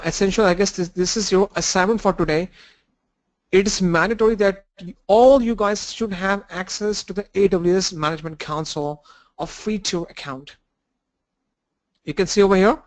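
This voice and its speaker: A man with a cold and a blocked nose, teaching an online training lesson with halting fillers and restarts. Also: high-pitched. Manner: halting, restarts and fillers, walks through screens. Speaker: instructor.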